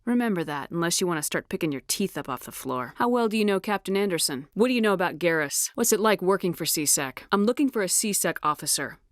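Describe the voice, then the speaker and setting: A commanding military woman from a sci-fi game, performed by an actress. Her voice sits fairly low, and her delivery is firm, clipped and no-nonsense. The sound is dry and studio-clean, with very little room. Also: animated, fast talker, few pauses, no echo, lightly acted. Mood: firm, guarded. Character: tough, commanding female military commander in space. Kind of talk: video game dialogue lines.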